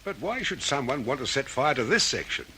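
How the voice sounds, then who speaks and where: Flustered polite factory manager, really up and down bright voice, faint hiss, radio play. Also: male voice, mid-range voice, very animated, a little fast. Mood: dismayed, puzzled. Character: flustered, well-mannered male factory manager. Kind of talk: old radio detective drama acting.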